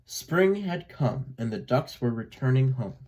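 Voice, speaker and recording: Casting: man for children's read-aloud narration, medium pitch, slow deliberate pacing, expressive delivery. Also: rough recording. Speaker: storybook reader.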